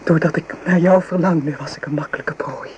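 An actress playing a lovesick, guilt-ridden young maidservant in a radio play, with halting, breathy, pleading delivery. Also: female voice, low-pitched, steady tone, darkish tone, dull audio, natural voice. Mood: anguished, desperate. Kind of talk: melodramatic Dutch radio play acting. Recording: noisy old radio drama recording.

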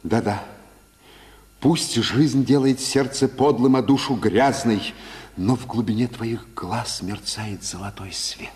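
An actor playing a proud, brooding Italian violinist with theatrical, poetic delivery in a 1950s Soviet radio drama. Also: male voice, mid-range voice, slow pace, darkish tone, close to an everyday voice. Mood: tender, intense.

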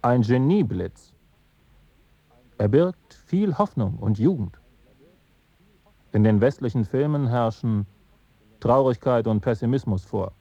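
Husky, mellow, musing cinephile. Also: male voice, medium pitch, lively intonation, no echo, muffled recording, heavily acted. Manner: short, halting phrases. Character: musing male film enthusiast, thinking aloud. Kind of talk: reflective radio drama monologue.

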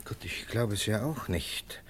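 An actor playing a suspicious, coolly sardonic police lieutenant in a German radio play, his voice husky. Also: on the low side, expressive, unhurried, a bit bright, exaggerated voice. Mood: confidential, measured.

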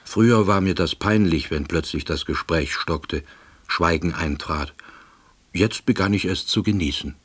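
An actor playing a withdrawn, middle-aged unemployed househusband who narrates a radio play in a dry, ruminative manner. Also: male voice, low voice, unhurried, breathy edge. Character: withdrawn, long-unemployed East Berlin househusband, quiet ruminator. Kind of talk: radio drama first-person narration.

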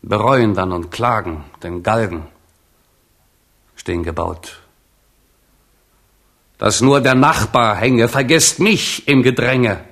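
A sardonic balladeer in a radio drama. The rhymed verse comes very slowly, in a fairly low male voice. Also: a bit bright, heavily acted. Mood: sardonic, solemn.

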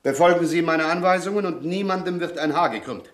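An actor playing a cool, commanding gang leader in a radio crime play, polite but authoritative. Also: male voice, on the high side, fairly quick, natural voice. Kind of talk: German radio play acting.

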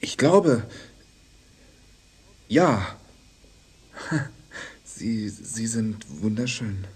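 A man's voice: lowish voice, animated, slightly rough, heavily acted. An actor playing a shy, lonely young man in a crime radio play, answering hesitantly and awkwardly. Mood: shy, flustered. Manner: hesitant, halting short answers. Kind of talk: 1990s German crime radio play acting.

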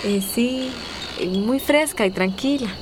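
Troubled young migrant woman, played by an actress, fairly high voice, earnest, radio drama with noisy ambience behind. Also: a little slow, lightly acted. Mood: shy, troubled. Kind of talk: radio soap opera acting. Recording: noisy, insects and chimes behind.

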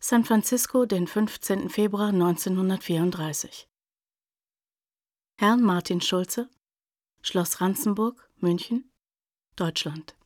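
A woman's voice: medium pitch, slow, long pauses, darkish tone, no echo, clean studio sound. A woman narrating a radio drama, reading scripted details in a matter-of-fact way.

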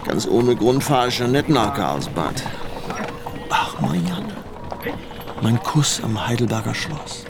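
Radio drama casting: grumbling old poet, sentimental and theatrical, slow, gravelly delivery over loud music. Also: male voice, medium pitch, very animated. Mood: fervent, nostalgic. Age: older. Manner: musing, sighing, theatrical, slipping into verse. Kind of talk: German radio play acting.

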